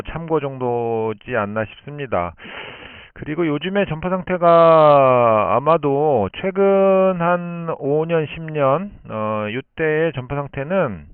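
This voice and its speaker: A man's voice: mid-range voice, smooth, dry recording. A man talking as an amateur radio operator, explaining things in polite, formal speech over a shortwave radio link. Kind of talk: explanatory radio contact talk. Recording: muffled narrowband shortwave radio transmission.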